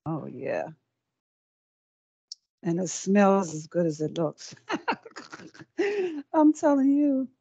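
A woman's voice: mid-range voice, big pitch swings, very slow, creaky, long pauses, mellow, breathy edge, no room sound. Chatty woman cooking on camera, lo-fi. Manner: chatty and encouraging, repeats words while working. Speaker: cooking demonstrator. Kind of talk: live cooking demonstration. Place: small indoor set with folding screens. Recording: dry, dull lo-fi sound.

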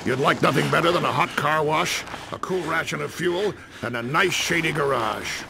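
An actor voicing a tough army jeep drill sergeant in an animated game, gravelly, commanding and taunting. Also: male voice, on the high side, moderate pace, background noise. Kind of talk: animated game cutscene acting.

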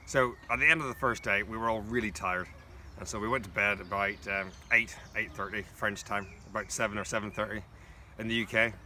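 A man in his forties with an English accent, a scout leader narrating a trip vlog in a relaxed, chatty way. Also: lowish voice, fairly quick. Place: outdoors in the countryside. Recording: noisy outdoor phone recording.

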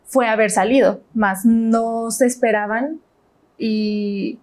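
A woman's voice: fairly high pitch, steady tone, takes it slowly, a little mellow. A young woman with a Mexican accent, a panel guest explaining her view in an earnest way. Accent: Mexican Spanish. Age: young adult. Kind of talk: prayer and discussion. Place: TV studio set. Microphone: lapel.

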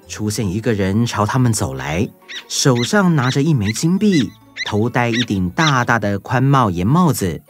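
A narrator reading a scripted children's story. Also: mid-range voice.

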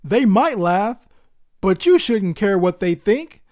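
An encouraging storybook father, as played by a woman, proud and reassuring. He speaks emphatically, with lively swings of pitch. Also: slow pace.

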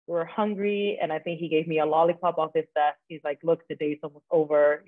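A woman's voice: low voice, fairly even intonation, brisk, no echo. Woman from the Dominican Republic on tinny call audio. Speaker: panelist. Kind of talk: personal career story on a panel.

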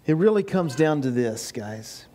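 A man's voice: medium pitch, expressive, measured pace, dark tone, slight background noise. An older man preaching a sermon to his congregation. He builds to emphatic peaks and repeats key phrases, recorded by a far camera in a small church. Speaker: pastor. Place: small church sanctuary with projection screen. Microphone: far camera, no mic seen.

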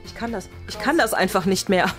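Female guest, quick casual talker, loud music underneath. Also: medium pitch. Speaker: visitor at a mock fair. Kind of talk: casual chat. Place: cluttered indoor studio kitchen.

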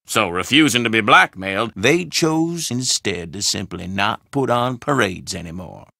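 Gravelly, theatrical old doctor. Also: male voice, on the low side, animated, bright, forceful tone, dry recording, clean studio sound, exaggerated voice. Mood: dry, musing. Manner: formal, deliberate, theatrical phrasing. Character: pompous, gloomy old Southern doctor, the dead man's friend. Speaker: video game character. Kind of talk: theatrical game dialogue.

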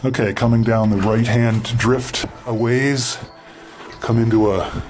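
A man from Canada giving casual, halting running commentary as he explores underground and describes what he sees. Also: mid-range voice, steady tone, darkish tone, soft background music. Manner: halting, unscripted descriptions of surroundings. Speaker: mine explorer and video host. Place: underground in an abandoned mine tunnel.

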